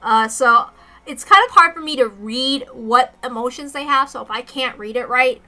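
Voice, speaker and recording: Bright, high-voiced woman reading a story aloud. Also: moderate pace, soft background music. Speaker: reader of a visual novel story. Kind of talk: expressive story read-aloud, first-person narration.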